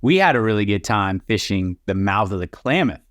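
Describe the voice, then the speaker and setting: Low-voiced man hosting a podcast. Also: very animated, a little fast, a bit bright, dry recording, studio quality. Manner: affable, chatty, encouraging. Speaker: podcast host. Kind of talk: casual interview chat.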